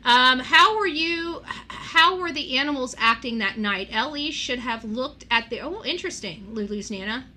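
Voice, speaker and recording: A woman's voice: high-pitched, expressive, moderate pace, hardly any pauses, sharp tone, slight background noise. A woman hosting a live call-in show in a casual, blunt, opinionated way.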